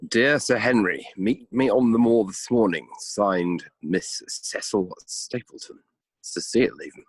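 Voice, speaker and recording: A man playing a genial, easily flustered gentleman heir in a comic amateur radio play, husky and theatrical. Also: fairly low pitch, unhurried, dry recording. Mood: puzzled.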